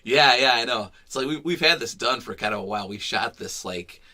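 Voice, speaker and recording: A man singing a soulful original ballad on a live stream, then chatting softly and rambling to his viewers. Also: animated, fairly quick. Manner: soulful high sung ballad; soft, rambling, mumbled talk with many fillers. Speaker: singer-songwriter streaming live. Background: piano accompaniment.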